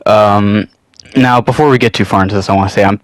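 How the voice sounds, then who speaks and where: A man's voice: lowish voice, fairly even intonation, fast, dry recording. A young man with a cold, sniffling a little, hosting his channel and talking casually through a loosely scripted hobby topic, rambling and swearing now and then. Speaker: channel host.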